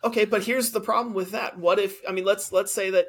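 A man's voice: sits high, fairly even intonation, brisk, a bit bright. A man co-hosting a podcast, a teacher who argues his points in casual debate with examples. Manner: argumentative, explains with examples. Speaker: podcast co-host.

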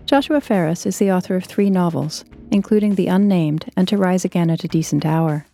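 Lady doing a scripted podcast intro, mellow kinda low voice, bit of music under her. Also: fairly quick. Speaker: host, fiction editor. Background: faint music.

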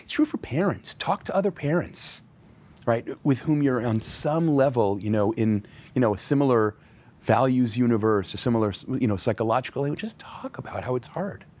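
A man's voice: mid-range voice, expressive, brisk, darkish tone. A man who is a rabbi, speaking as a podcast guest in an earnest, reflective way, with the occasional wry aside. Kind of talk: thoughtful interview conversation.

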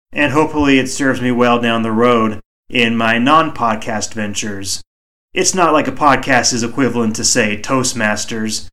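Male solo podcast host, mid-pitched, soothing and measured, home mic. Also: fairly bright tone. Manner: measured, reflective, deliberately slow. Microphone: home microphone.

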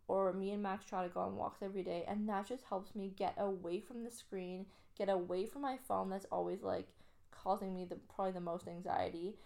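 A woman's voice: medium pitch, vocal fry, a little mellow. A young adult woman from Canada, chatting casually and upbeat as a podcast co-host. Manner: chatty, upbeat, lots of fillers. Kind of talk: casual chat.

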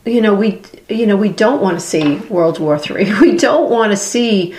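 A woman with a Boston accent co-hosts a podcast in easy, rambling conversation, often restarting her sentences. Her voice sits at a middle pitch, and the room around her has a noticeable echo. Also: moderate pace, slight background noise. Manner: rambling, restarts her thoughts, quotes others in animated asides. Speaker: podcast co-host. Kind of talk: casual conversational chat. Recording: echoey room.

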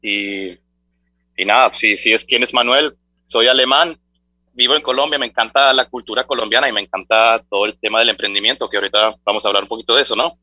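Guest: man, startup founder, bright tense voice, dry sound, lively and chatty. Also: mid-range voice, quick pace, no room sound, lo-fi sound. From Germany. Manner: friendly, animated, many fillers and restarts. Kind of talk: radio interview, casual conversational answers.

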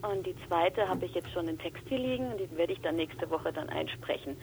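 A young woman from Franconia with a Franconian accent softened by Swabian traces, a guest voice actor chatting casually and eagerly, often laughing. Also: fairly low pitch, expressive, a little fast, noisy background. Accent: Franconian German with Swabian traces. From Franconia, Germany. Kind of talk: casual chat.

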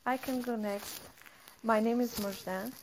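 Slow, gravelly woman. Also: fairly high pitch, steady tone, darkish tone, a little hiss or hum, low-quality recording. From Afghanistan. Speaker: community liaison.

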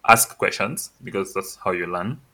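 Man, podcast co-host, very low pitch, forceful tone, halting delivery. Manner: hesitant, many "uh" and "kind of" fillers. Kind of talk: casual chat.

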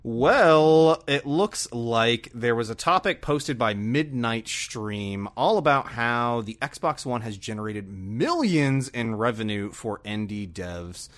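Animated man with wide swings in pitch. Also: takes it slowly, few pauses. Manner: enthusiastic, expressive. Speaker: podcast co-host. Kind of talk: casual gaming podcast chat.

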